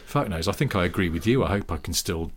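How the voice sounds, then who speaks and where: Deep man in his forties, loose chatty co-host who rambles. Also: lively intonation, quick pace, slight background noise. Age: 40s. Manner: rambling storyteller, frequent ums and restarts. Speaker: podcast co-host. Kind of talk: casual chat.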